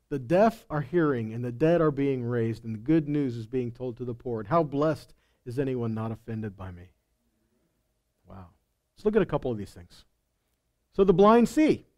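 Male preacher, middle-aged, slightly high pitch, soft dark-edged tone, emphatic teaching delivery. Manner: emphatic, asks rhetorical questions and answers them. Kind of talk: teaching sermon with scripture readings. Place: indoor stage of a congregation hall. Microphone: headset.

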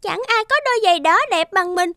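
A woman's voice: a little fast, slight background noise. A woman voicing a vain, spoiled little girl in a cartoon fairy tale, childlike, whiny and theatrical. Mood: vain, sulky. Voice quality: childlike, high and bright. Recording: music behind the voice.

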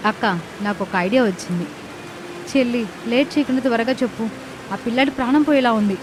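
A woman's voice: a little high, brisk, noisy background, lightly acted. A woman playing earnest, caring young village sisters in a moral story cartoon, with a dramatic delivery. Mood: urgent. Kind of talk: animated moral story dialogue. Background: background music.

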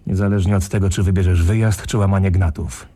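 A man playing a hard, sardonic middle-aged tough guy in a radio play, deadpan, menacing and very raspy. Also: quick pace. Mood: coolly threatening. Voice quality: low, very raspy. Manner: deadpan, menacing, matter-of-fact.

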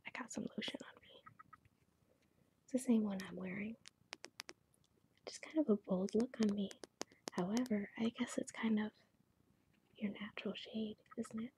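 A woman speaking in a gentle, breathy, whispery voice, slowly chatting to a client in an ASMR salon roleplay. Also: medium pitch, steady tone, a little hiss or hum, rough recording. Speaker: ASMR creator playing a pedicure salon worker. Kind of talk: soft-spoken ASMR roleplay.

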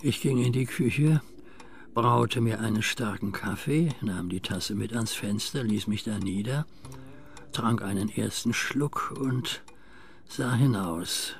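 Slow-talking, burdened old man. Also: fairly low pitch, vocal fry, faint music behind. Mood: grave, weary. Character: old rural neighbour Judd, earnest, burdened, protective. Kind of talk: radio play acting and first-person narration.

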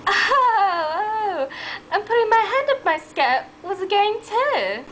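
Woman as an excitable, very high-pitched young policewoman. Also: slow pace, slight background noise, heavily acted, low-quality recording. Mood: indignant, giddy. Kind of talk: amateur puppet show skit.

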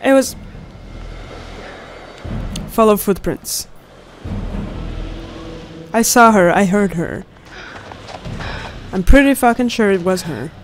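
Slow-paced young woman reacting to a game. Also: medium pitch, lively intonation, faint music behind. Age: young adult. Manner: reactive, exclamatory, profanity-laced. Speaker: gaming video host. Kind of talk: casual gameplay reaction commentary. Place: indoors at a home recording setup. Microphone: close studio condenser mic. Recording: clear game ambience noise behind voice. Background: horror game ambience.

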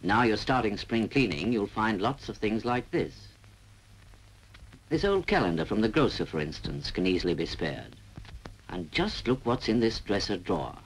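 A man's voice: on the low side, animated, moderate pace, slightly rough, poor audio. A man with a British accent narrating a 1940s public information film in a chatty, wry, exhorting manner.

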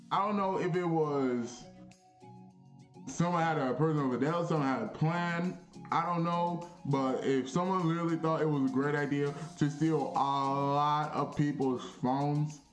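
A young man vlogging in a casual, animated, slangy storytelling way, repeating phrases for emphasis in a small bedroom. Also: fairly high pitch, roomy sound. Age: young adult.

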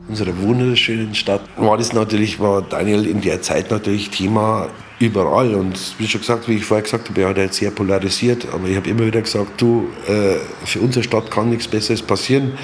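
A man's voice: on the low side, fairly even intonation, fast, few pauses, a little hiss or hum. A man speaking spontaneously and emphatically in an interview, often repeating himself. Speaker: local interviewee.

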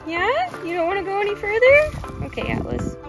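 High-voiced bubbly woman vlogging outdoors. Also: very animated, fairly quick, music in the background. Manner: bubbly, enthusiastic, chatty. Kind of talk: casual vlog narration. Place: outdoors in desert and in a shop. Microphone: phone at arm's length.

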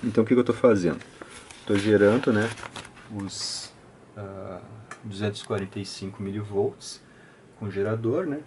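A man with a Brazilian accent giving an informal hands-on technical tutorial, speaking haltingly with frequent short check-in tags. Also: lowish voice, a little mellow, slight background noise. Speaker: electronics repair channel host. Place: indoor home electronics workbench.

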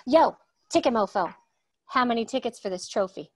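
A woman's voice: on the high side, animated, normal speed, darkish tone. A woman reading a brash, aggressive, violent woman in a comedy table read.

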